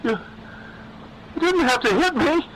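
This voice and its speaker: A man's voice: very high-pitched, animated, unhurried, a bit bright, a little hiss or hum, pitched above the performer's own voice, heavily acted, rough recording. A man voicing a lovesick, clingy undead young man who pleads and stammers in a horror audiobook. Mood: hurt, pleading.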